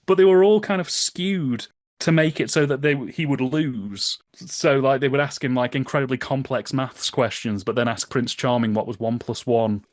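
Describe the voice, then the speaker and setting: A man's voice: medium pitch, moderate pace, no echo. Man chatting, close dry sound. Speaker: podcast guest. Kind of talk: casual conversational commentary.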